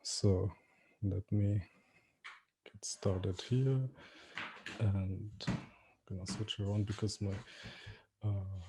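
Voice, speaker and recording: A man with a German accent giving a marketing webinar, talking in a halting way with many fillers and restarts and returning again and again to the same stock phrase. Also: on the low side, steady tone, very noisy. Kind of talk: webinar presentation.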